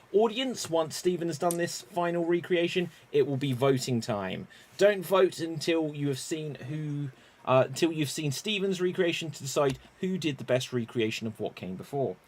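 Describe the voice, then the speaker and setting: A man hosts an improv competition with a fairly high voice. He lays out the rules slowly and clearly, and announces each round's turn. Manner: clear, deliberate explaining of rules. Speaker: improv show host. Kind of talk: game show hosting.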